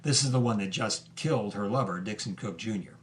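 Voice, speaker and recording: A man hosting and narrating history in a conversational, explanatory way, leaning on key phrases with deliberate emphasis. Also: lowish voice, a little hiss or hum. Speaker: host and narrator.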